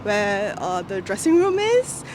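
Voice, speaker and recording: A woman's voice: mid-range voice, big pitch swings, a little slow. A woman from Singapore, talking with enthusiasm and giggles about a stadium tour. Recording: street noise behind.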